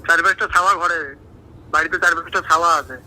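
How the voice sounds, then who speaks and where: A man's voice: a little high, steady tone, quick pace, sharp tone. A man with a regional Bengali accent on a phone, brusque and impatient, firing repeated questions. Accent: Bengali regional dialect. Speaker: prank call recipient. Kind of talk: irritated phone conversation. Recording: noisy tinny phone line.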